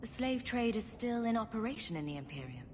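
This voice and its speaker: A woman's voice: a little high, very animated, a little fast, a little hiss or hum. A woman voicing a wry, sarcastic, inquisitive female rogue adventurer in a video game. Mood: curious, wry.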